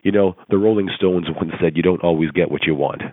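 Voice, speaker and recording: A hoarse man recovering from laryngitis, giving an earnest, rousing motivational coaching talk with rhetorical questions over a conference call. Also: deep voice, fairly quick, no room sound. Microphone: telephone conference call. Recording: tinny phone line, dry.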